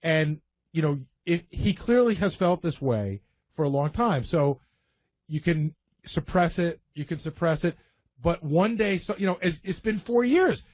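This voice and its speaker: A man with a fairly high-pitched voice talks casually on a radio show. He tells stories in a conversational way, and the audio is somewhat dull and muffled. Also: animated, measured pace, no room sound. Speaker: radio show staff member. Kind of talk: casual radio talk, storytelling.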